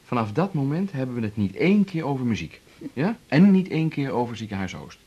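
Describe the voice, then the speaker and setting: An actor playing a calm, caring musician and father in a 1980s radio drama. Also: mid-range voice, fairly quick, husky, dull audio.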